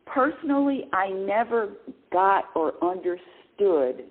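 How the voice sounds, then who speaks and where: A woman's voice: mid-range voice, lively intonation, slow. A middle-aged woman hosting a spiritual radio talk show, talking animatedly with frequent restarts, repeated words and laughs. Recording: phone-like lo-fi line.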